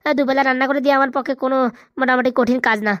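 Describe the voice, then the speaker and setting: High, rapid-fire, obliging cartoon helper woman voicing him. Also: flat delivery, a bit bright, dry recording, studio quality. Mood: eager to please. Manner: eager to please, colloquial. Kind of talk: comic Bengali cartoon dialogue.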